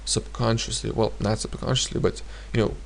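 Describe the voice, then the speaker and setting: A man teaching a grammar lesson in a hesitant way, pausing often and repeating each example sentence. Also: lowish voice, level tone, gravelly. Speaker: language teacher.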